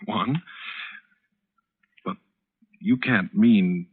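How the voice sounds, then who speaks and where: An actor playing an earnest, disbelieving guest in a 1940s radio drama, hesitant and protesting. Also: male voice, lowish voice, very slow, husky, heavily acted. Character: earnest, incredulous male guest, appalled by his host. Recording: thin, phone-like 1940s radio recording.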